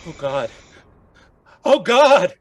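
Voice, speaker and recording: Proper Victorian-style doctor for gothic radio: lighter high-ish pitch, fussy, uneasy, formal. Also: male voice, very animated, very slow, heavy background noise, heavily acted. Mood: horrified. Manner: formal, fussy, hesitant when alarmed. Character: proper, bewildered gentleman doctor Lanyon, easily alarmed. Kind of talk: gothic radio drama acting.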